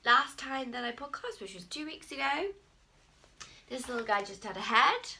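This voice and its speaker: An English woman in her thirties hosting her own crochet video podcast, her voice croaky, chatting warmly with plenty of ums. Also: on the high side, sing-song, normal speed, slight background noise. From England. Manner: chatty and friendly, many ums. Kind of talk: casual solo video podcast.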